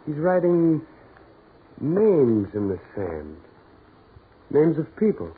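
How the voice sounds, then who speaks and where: Husky american guy in a 40s radio horror drama, curious n insistent, pitch swings around, tinny old recording. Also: very animated, takes it slowly, exaggerated voice. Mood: fascinated. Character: curious, insistent man out on a dark beach. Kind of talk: 1940s radio horror acting. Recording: scratchy band-limited 1940s radio recording.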